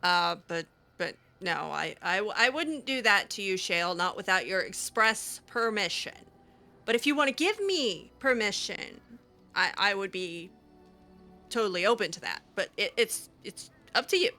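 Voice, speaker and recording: A woman's voice: mid-range voice, expressive, normal speed, sharp tone, faint music behind. A woman playing a video game, improvising chatty, hesitant and effusive roleplay commentary in character. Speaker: video game player and host.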